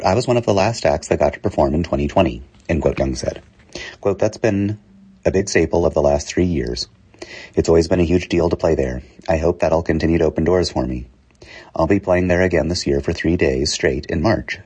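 A man with a very deep voice and a husky, slightly rough edge reads printed news stories aloud. He keeps a steady, unhurried pace and reads the quote marks out as words. Now and then he trips on a word and starts it again. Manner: plain reading that speaks quote marks aloud; steady, unhurried delivery with small slips and restarts. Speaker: newspaper reader. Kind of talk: reading news articles aloud.

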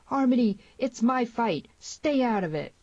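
A woman's voice: mid-range voice, normal speed, mellow, no room sound, lo-fi sound, pitched above the performer's own voice, natural voice. Woman acting a brooding, stubborn young westerner. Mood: urgent.

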